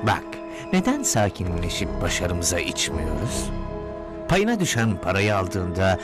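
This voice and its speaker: A suave, unhinged cartoon villain, speaks in a lowish, swooping voice. He sounds mocking and dramatic, with music playing clearly behind him. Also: wildly swooping pitch, measured pace, exaggerated voice. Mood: smooth, scheming. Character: smug, theatrical male villain, crafty and unhinged. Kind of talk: dubbed cartoon acting.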